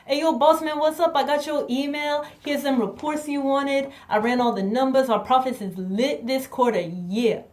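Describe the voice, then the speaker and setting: A woman putting on the voice of a brash, overly casual employee who talks to the boss in loud slang. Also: high-pitched, moderate pace, few pauses, pitched above the performer's own voice, close to an everyday voice. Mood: cocky.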